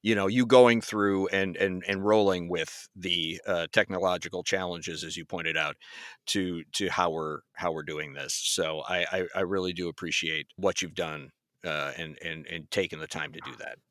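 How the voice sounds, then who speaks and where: A man hosting an interview in a conversational, polite and appreciative way, often halting and repeating words. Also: low-pitched, steady tone, a bit bright, no room sound, clean studio sound. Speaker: interviewer, podcast host.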